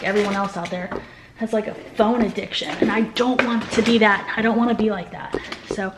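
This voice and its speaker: A woman in her thirties with an American accent, a mother vlogging in a casual, informal, rambling chatty style. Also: on the high side. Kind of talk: casual chat to camera. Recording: noisy home-video sound with clicks.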